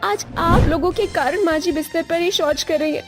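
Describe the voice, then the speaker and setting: A woman acts out an outspoken young village woman in a cartoon moral tale. Her voice is strikingly high and full of earnest insistence. Music is clearly heard beneath it. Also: normal speed. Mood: reproachful. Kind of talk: acted cartoon story dialogue.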